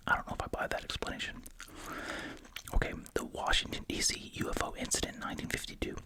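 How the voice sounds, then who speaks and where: An ASMR host speaking entirely in a soft, breathy whisper, musing casually while chewing gum. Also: low-quality recording.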